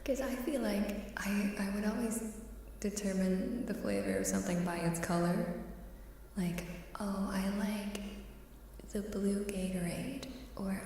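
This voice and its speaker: A woman talks in a soft, mellow ASMR voice that often slips into whispers. She takes her time, with a playful, coy manner. The sound is heavily echoing and a little distant, with faint ambience behind her. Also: medium pitch. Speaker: ASMR live streamer. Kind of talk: ASMR casual chat with whispering. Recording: reverberant hall-like echo, faint hiss, distant.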